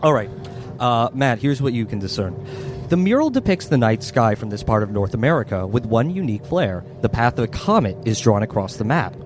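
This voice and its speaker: A man running a tabletop game as game master, narrating scenes in a measured, descriptive way and refereeing the rules. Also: mid-range voice, very animated, noisy background.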